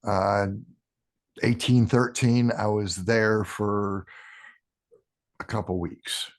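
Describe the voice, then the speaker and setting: A man in his sixties, a podcast guest talking casually in a halting way, restarting sentences with ums. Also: fairly low pitch, steady tone. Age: 60s. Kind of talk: casual interview talk.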